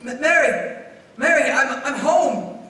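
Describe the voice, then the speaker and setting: A man's voice: extremely high pitch, expressive, takes it slowly, pushed and bright, full character voice. A young-sounding performer, a man, playing a frantic, bewildered family man in a stage radio play, stammering and agitated. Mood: desperate. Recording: very echoey stage, distant mic, faint noise.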